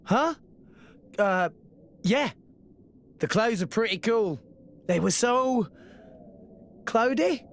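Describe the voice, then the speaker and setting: A woman's voice: on the high side, animated, takes it slowly, long pauses, a little hiss or hum. A woman voicing an earnest teenage boy in a video game, hesitant, awkward and easily tongue-tied. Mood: flustered. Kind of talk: video game cutscene acting.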